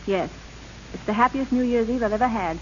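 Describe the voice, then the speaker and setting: A woman's voice: a little high, fairly quick, a little mellow, close to an everyday voice. An actress playing a wistful, sincere young woman in an old radio drama, with earnest theatrical delivery on a muffled, hissy recording. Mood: happy. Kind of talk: 1930s-40s radio drama acting.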